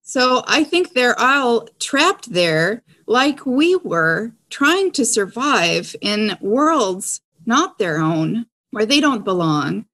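A woman's voice: a little high, very animated, slow pace, hardly any pauses, a bit bright. A woman speaking in character as a player in a tabletop roleplaying game. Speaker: guest player in an audio drama game.

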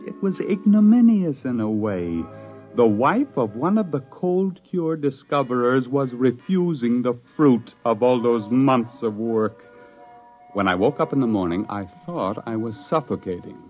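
A man acting an excitable scientist husband who tells his own story in a 1950s radio drama, with dramatic, wry delivery. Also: mid-range voice, a little slow, natural voice. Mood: wry, narrating. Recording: dull 1950s radio recording, music behind.

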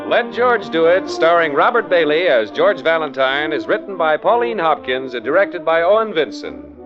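Animated vintage male radio announcer. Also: on the high side, moderate pace, music in the background. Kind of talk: 1940s radio advertising and announcing. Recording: muffled vintage radio broadcast.

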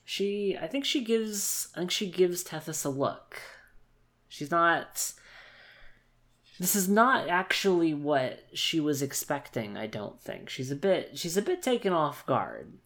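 Slow, halting tabletop role-player. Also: medium pitch, sing-song, fairly bright tone. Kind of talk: casual improvised game narration.

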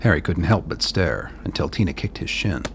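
Male narrator, low voice, creaky edge, scripted drama storytelling, faint background noise. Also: animated, a little fast. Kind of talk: scripted radio play narration.

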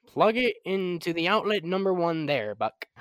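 A man acting an excitable, good-humoured scientist and doctor in an old-time radio drama. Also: high voice, moderate pace, clear, resonant tone, no echo, clean studio sound. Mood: eager, businesslike.

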